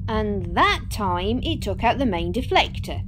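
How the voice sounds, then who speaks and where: A woman playing a determined, practical starship engineer in an audio drama, brisk and problem-solving. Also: mid-range voice, sing-song, background noise.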